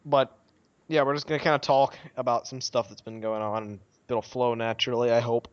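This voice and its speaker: A man's voice: medium pitch, fairly even intonation, brisk. Male podcast host, casual and conversational, mid-range voice, slightly muffled lo-fi recording. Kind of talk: casual group podcast chat.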